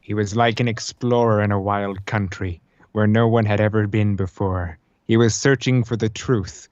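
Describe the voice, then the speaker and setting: A man putting on the voice of a suave, theatrical old horror-film actor, speaking slowly and deeply. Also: fairly even intonation, a little mellow, no room sound, highs cut off, close to an everyday voice. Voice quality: slow, drawn-out, deep theatrical delivery.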